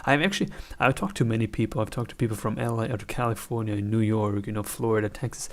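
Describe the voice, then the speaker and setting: Twenties German-accented male reactor, low voice, rambling casual chat. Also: quick pace. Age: 20s. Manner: chatty, rambling, restarts often. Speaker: reaction video host.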